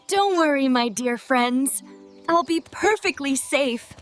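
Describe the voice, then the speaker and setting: A woman voicing a sweet, trusting young princess, gentle and polite, in an animated fairy tale. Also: sits high, slow, music in the background.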